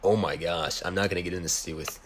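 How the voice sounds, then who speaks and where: A man playing a gruff, deep, angry Marine veteran. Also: fairly even intonation, quick pace, close to an everyday voice. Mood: exasperated. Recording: noisy home recording.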